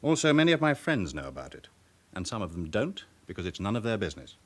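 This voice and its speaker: A proud, guarded businessman in a radio drama. He speaks low, with wide swings of pitch, in a formal and controlled way that turns faintly haughty. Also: sing-song, fast talker, a bit bright. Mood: firm, indignant. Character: smooth respectable businessman with a past, guardedly proud. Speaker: actor in a police drama. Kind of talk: naturalistic radio drama acting.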